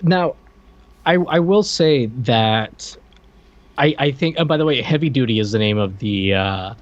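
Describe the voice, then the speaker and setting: A man's voice: medium pitch, expressive, a little slow. Slightly muffled man chatting, rambling. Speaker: podcast co-host. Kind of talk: casual pop culture chat.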